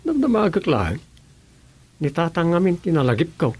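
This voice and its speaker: An actor playing an opinionated, slightly defensive village man with an Ilocano accent and a gravelly, husky voice in a comedy radio drama. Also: on the high side, sing-song, normal speed. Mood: sheepish, defensive.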